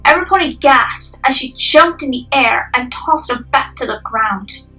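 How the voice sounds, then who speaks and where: A woman's voice: sits high, normal speed, sharp tone, recorded from a distance, faint music behind, rough recording. A young adult woman reading scripted narration for a dramatic story.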